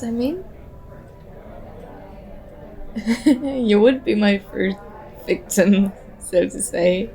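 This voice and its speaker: A woman's voice: medium pitch, creaky, a little mellow, rough recording. A woman playing a very shy, nervous young stranger in an ASMR roleplay, talking hesitantly and self-consciously as she overthinks. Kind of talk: ASMR roleplay, soft conversational acting.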